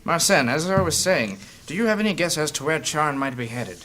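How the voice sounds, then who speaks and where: Wary, forceful party leader. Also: male voice, a little high, animated, a little fast, slight background noise, poor audio. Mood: firm. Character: earnest, wary male adventurer, leader of a party. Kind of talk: fantasy audio drama acting.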